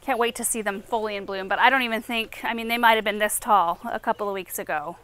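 A woman's voice: medium pitch, steady tone, quick pace, fairly bright tone. A woman in her thirties hosting a casual walking garden tour outdoors, chatty and enthusiastic as she points out plants. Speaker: garden show host.

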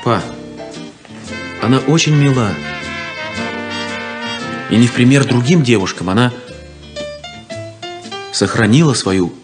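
An actor playing a naive, hot-headed young son in a 1970s radio play, excitable and pleading, stammering when flustered. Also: male voice, mid-range voice, slow, pauses often, over music. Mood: defensive, pleading.

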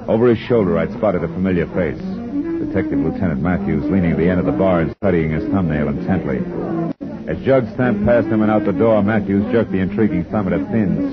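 An actor playing a wry, hard-boiled private detective who narrates tersely in a 1950s radio drama, from a dull lo-fi broadcast. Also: male voice, low-pitched, close to an everyday voice.

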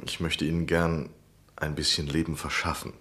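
Deep-voiced, courteous gentleman scholar. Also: little pitch movement, moderate pace, husky, full character voice. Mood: probing, coaxing. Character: courteous, ingratiating gentleman scholar, persuasive and probing. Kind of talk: German literary radio drama acting.

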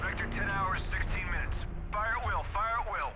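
Man playing a high-voiced urgent wingman pilot. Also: a little fast, heavy background noise, close to an everyday voice. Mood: urgent, focused. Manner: clipped, urgent military callouts. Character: eager, disciplined male military wingman pilot. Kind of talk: video game radio combat chatter. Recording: loud game music and gunfire behind.

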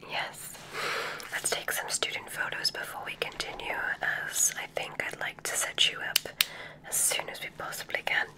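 A woman whispering gently and deliberately in an ASMR roleplay as a kindly witch examiner, giving soft instructions in a breathy voice. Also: low-quality recording.

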